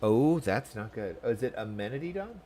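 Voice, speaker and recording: A man's voice: mid-range voice, big pitch swings, fairly quick, mellow. A man giving casual, chatty live gameplay commentary as a streamer, reacting informally. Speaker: game streamer.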